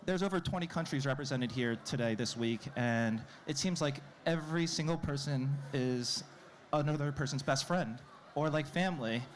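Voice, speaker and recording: A man's voice: medium pitch, normal speed, a little hiss or hum. A man from New York giving a live conference presentation in a conversational manner. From New York, United States. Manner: conversational, anecdotal. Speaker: conference presenter, programmatic director. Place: conference stage before an audience.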